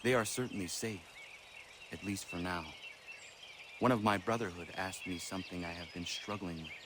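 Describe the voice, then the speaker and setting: A man plays a burdened young warrior with a deep voice. He speaks earnestly and stiffly, grieving but stoic, in game-drama style, with clear outdoor birdsong and ambience. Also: steady tone, moderate pace, background noise, lightly acted. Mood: sombre, reflective.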